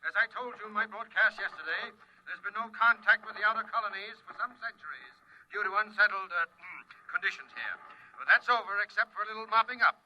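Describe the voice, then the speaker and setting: Bossy militaristic space inspector over the radio, curt and officious, noisy muffled old radio play sound. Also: male voice, high voice, a little fast. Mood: officious, menacing. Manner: imperious, curt, officious, commanding. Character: domineering, militaristic imperial inspector from Earth, a man. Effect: radio transmission. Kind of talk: 1950s radio drama acting. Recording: dull old radio recording, clear static noise.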